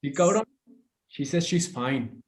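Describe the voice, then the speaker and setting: Man acting as cocky young male thug, high pitch, taunting slang, belligerent, echoey room. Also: lively intonation, a little slow. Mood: irritated. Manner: taunting, loud street slang. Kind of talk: screenplay table read acting. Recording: roomy, echoing home recording.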